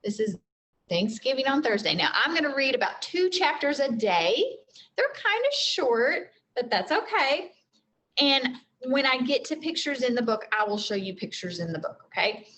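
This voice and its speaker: A woman's voice: high voice, very animated, normal speed, a bit bright. A woman teacher reading a storybook aloud to children, explaining things simply as she goes. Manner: explains simply, with frequent check-in questions.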